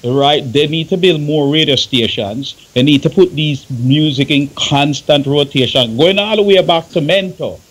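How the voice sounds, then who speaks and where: A man's voice: fairly high pitch, moderate pace, hardly any pauses, rough recording. Jamaican man in panel discussion. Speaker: talk show panelist, music veteran.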